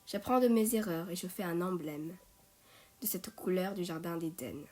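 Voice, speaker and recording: A woman's voice: lowish voice, moderate pace, darkish tone. Woman reciting poetry over music. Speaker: poet reading own poem.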